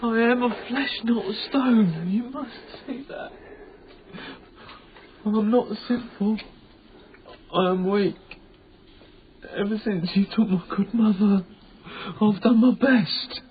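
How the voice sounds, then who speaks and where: A frightened, devout young man of a bygone age in a radio play. He speaks slowly and haltingly, quavering as he pleads, through a thin, phone-like recording. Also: high-pitched. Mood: anguished pleading. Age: young adult. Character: trembling, pious young man from another era, begging forgiveness. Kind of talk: theatrical radio drama acting. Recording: tinny, noisy radio drama broadcast copy.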